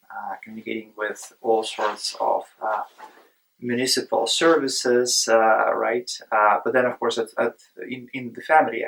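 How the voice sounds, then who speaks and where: Man, halting. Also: medium pitch, gravelly, a bit bright, poor audio. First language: Russian. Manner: hesitant, many fillers. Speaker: history lecturer. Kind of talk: interview answer.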